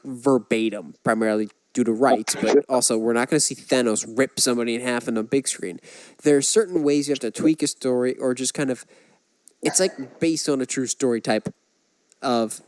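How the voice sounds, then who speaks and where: A man's voice: mid-range voice, a little mellow, no echo. A man co-hosting a podcast, casually discussing films and giving his opinions with examples. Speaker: podcast co-host.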